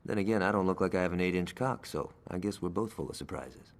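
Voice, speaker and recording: An actor playing a cocky, hot-headed young gangster with a brash, sarcastic, profane way of talking in a game cutscene. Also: male voice, deep voice, quick pace. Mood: sardonic. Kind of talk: video game cutscene acting.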